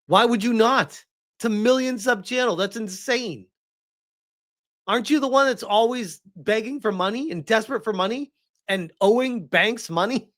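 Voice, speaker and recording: A man's voice: high-pitched, normal speed, a bit bright. A man doing casual live reaction commentary in an incredulous, mocking and emphatic way.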